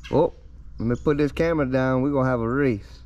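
A man's voice: medium pitch, brisk, a little mellow, lo-fi sound, a little hiss or hum. A man, talking casually to his son and his viewers in an easygoing vlog filmed outdoors on a handheld camera. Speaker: vlogger. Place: outdoors on a bayou.

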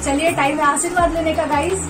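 Young woman vlogging w family, really high voice, playful n teasing, bit of music behind. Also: fairly even intonation, quick pace, far from the mic. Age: young adult. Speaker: vlog host. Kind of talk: casual family vlog chat. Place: living room at home.

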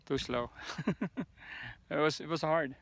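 A man in his thirties filming himself on a mountain hike, talking in a casual, unhurried way with many fillers and restarts, recorded on a phone held at arm's length outdoors. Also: on the high side. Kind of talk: casual hiking vlog narration.

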